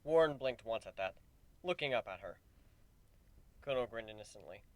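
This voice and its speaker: Expressive male storyteller, dry close sound. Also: lowish voice, normal speed, dry recording. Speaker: narrator. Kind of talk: dramatic scripted story narration.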